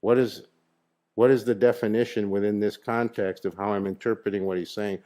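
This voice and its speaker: A man's voice: lowish voice, fairly even intonation, fairly quick, warm tone. A man leading a spiritual satsang in a room with an audience, probing his listeners with questions and stressing his points on a handheld mic. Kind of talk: interactive spiritual teaching with a group. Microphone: handheld microphone.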